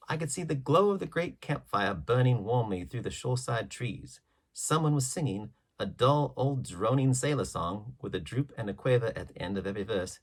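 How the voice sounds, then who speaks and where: A man's voice: fairly low pitch, breathy edge. A man reading a classic adventure story aloud as scripted literary narration. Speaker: audiobook reader.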